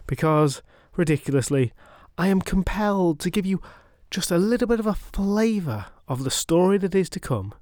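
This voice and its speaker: A man's voice: a little high, no room sound, clean studio sound. A man hosting a podcast, retelling an old myth in a chatty way with wry, playful asides. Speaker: podcast host and storyteller.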